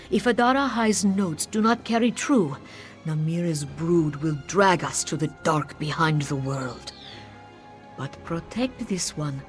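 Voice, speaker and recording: Woman as low-voiced solemn cat-folk priestess. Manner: formal, speaks of self in third person. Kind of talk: scripted fantasy game dialogue. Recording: background music under the voice.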